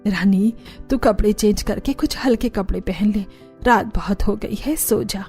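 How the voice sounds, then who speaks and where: A woman voicing a gentle, concerned middle-aged village mother-in-law in a cartoon story, in a fretful, motherly manner. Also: mid-range voice, unhurried, soft background music, close to an everyday voice.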